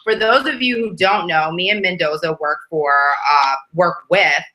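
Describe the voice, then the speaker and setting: A woman with a lowish but bright, crisp voice, hosting a casual interview as a nurse. She is friendly and chatty, and her speech is sprinkled with "like". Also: moderate pace, poor audio. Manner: friendly, chatty, uses "like" a lot.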